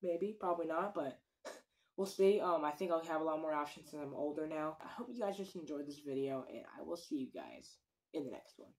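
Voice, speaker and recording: A man's voice: a little high, fairly quick, darkish tone. A young man chatting casually to a phone camera in a small indoor room, earnest and rambling, with lots of ums and likes. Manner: earnest, rambling, many fillers. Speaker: fishing vlogger. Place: small wooden room with many windows, indoors. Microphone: phone camera at desk distance.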